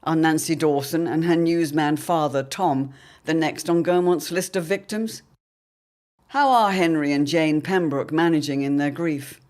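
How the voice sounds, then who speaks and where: A man's voice: on the high side, fairly even intonation, unhurried, darkish tone. A man narrating a serial drama as announcer, in a dramatic, portentous old-time radio style. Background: music under the voice.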